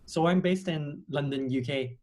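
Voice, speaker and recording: A man's voice: a little high, moderate pace. A young man from Australia, a podcast guest, talking casually with plenty of ums and "kinda". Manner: relaxed, many ums and "kinda". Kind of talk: casual interview chat.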